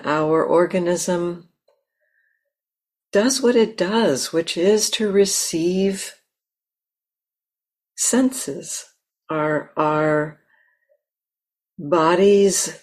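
A woman leading a guided meditation in a gentle, unhurried manner with long pauses. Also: low-pitched. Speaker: meditation teacher.

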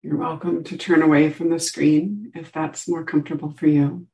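A woman teaching a guided meditation, giving gentle instructions with long pauses between short phrases. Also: sits low, flat delivery, gravelly, muffled recording.

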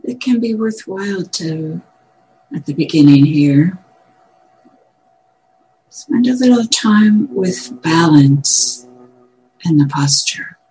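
A woman meditation teacher guiding a meditation in gentle, measured short phrases with long pauses. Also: fairly low pitch, lively intonation, rough recording. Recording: clear background noise, room ambience.

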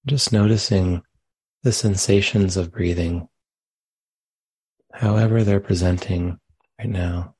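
A man leading a guided meditation as a teacher, speaking gently in short phrases with long, unhurried pauses. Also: low-pitched, fairly even intonation, husky, no echo, low-quality recording. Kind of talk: guided meditation, spoken live.

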